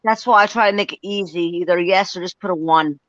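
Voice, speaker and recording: A woman's voice: fairly low pitch, brisk, a bit bright, no room sound. A woman in her sixties, co-hosting a live paranormal stream, talking emphatically to the audience. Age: 60s. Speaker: co-host. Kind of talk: live-stream ghost hunt chat. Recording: dry, dull lo-fi stream audio.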